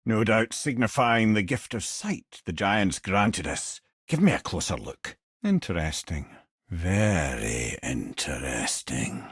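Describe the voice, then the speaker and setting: A clever old Scottish storyteller, the talking head of a wise man in a fantasy game. The delivery is theatrical and folksy, with wry humor and pitch that swings widely. The sound is dry, with no room around the voice. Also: husky, no room sound, heavily acted. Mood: intrigued. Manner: wry, theatrical, folksy, addresses listeners as brother and lad. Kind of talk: expressive video game character acting, storytelling and banter.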